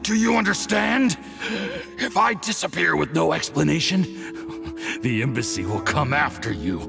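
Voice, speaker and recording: An actor playing a poisoned male foreign diplomat who rages and struggles to speak, with a slow, strained delivery. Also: a little high, lively intonation, vocal fry, music in the background. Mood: furious. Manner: furious outbursts, slow and strained. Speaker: game character.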